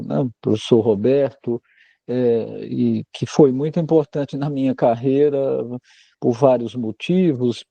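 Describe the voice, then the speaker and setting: A man from Alagoas, Brazil, lecturing on neurology over a video call. His voice is gravelly and very dark, and the sound is dry and close. He speaks in a measured, courteous way, full of fillers. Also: medium pitch, dry recording. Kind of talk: online lecture.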